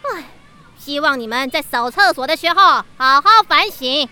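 Casting: exasperated female schoolteacher woman actor, expressive scolding delivery with sharp edge, Taiwanese Mandarin, children's audio drama. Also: high-pitched, normal speed, full character voice. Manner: scolding, bossy. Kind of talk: children's radio drama acting.

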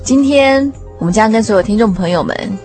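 A woman's voice: on the high side, lively intonation, a little fast, a little mellow, faint music behind. A woman hosting a radio show in a conversational style. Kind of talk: conversational hosting of a music segment.

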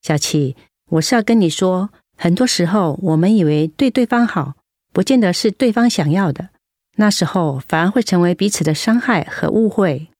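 An actress playing a caring, firm older-sister nurse, gently admonishing in Taiwanese Mandarin, in a radio drama. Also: female voice, on the low side, expressive, normal speed, no echo, studio quality. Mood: earnest, caring.

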